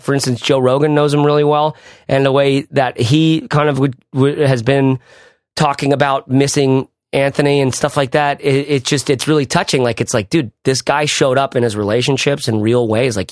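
A man in his thirties hosting a podcast, groggy and a bit deeper-voiced than usual, talking casually in a rambling, self-interrupting way. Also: no echo, clean studio sound. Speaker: podcast host.

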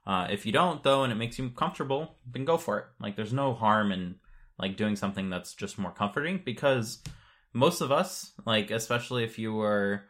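A man with a fairly low voice talks as a podcast co-host. He explains in a matter-of-fact, informal way, as in a relaxed chat with a colleague. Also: moderate pace.

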